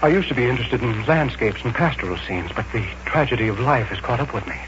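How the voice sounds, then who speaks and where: A man's voice: medium pitch, quick pace, close to an everyday voice. A man playing a doomed, fearful, bitter artist in a 1940s radio drama, with an agitated, fatalistic delivery. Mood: bitter, resigned. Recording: muffled, noisy 1940s radio recording with hum.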